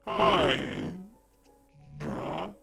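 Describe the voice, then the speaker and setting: A man voicing a newborn monster from a grave, croaking and halting as it struggles to form words. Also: sits high, wildly swooping pitch, pushed and bright, faint music behind, voice pushed up, exaggerated voice. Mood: struggling. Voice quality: croaking, growling. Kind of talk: storybook reading.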